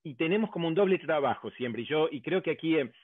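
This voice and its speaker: An Argentine man on an academic panel, building his point step by step and pausing on fillers. His voice comes through a narrow, phone-like remote connection with no room sound at all. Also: a little high, lively intonation, husky, no echo. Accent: Argentine Spanish. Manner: hesitant, many fillers, builds arguments step by step. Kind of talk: round-table discussion. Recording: dry, tinny phone-like line.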